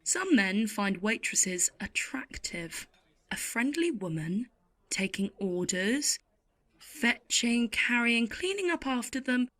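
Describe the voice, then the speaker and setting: Actress as a middle-aged waitress, slow delivery, world-weary, sardonic, intimate audio play monologue. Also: female voice, a little high, big pitch swings, pushed and bright, heavily acted. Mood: wry, deadpan. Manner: intimate, sardonic, deliberate storytelling. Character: world-weary, wry older waitress in a late-night cafe.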